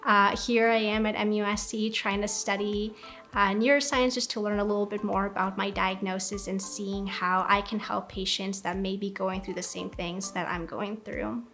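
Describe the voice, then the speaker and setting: A woman's voice: mid-range voice, fairly even intonation, moderate pace, a bit bright. A woman in her twenties, from Ecuador, giving a reflective, earnest documentary interview as a graduate student, with frequent ums. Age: 20s.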